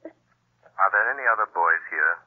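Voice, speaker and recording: An actor playing a calm, reassuring, mysterious invisible crime fighter with a husky voice in old-time radio drama. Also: male voice, deep voice, a little slow. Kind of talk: 1940s radio acting. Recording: muffled telephone-like old radio recording.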